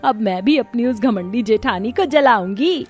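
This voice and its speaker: Lady doing older cartoon saas, greedy n bossy, high voice, scolds and brags, faint music behind. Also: expressive, moderate pace, darkish tone, close to an everyday voice. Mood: gloating. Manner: scolding, gloating, emphatic. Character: greedy, boastful, bossy older mother-in-law. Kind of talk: Hindi cartoon story voice acting.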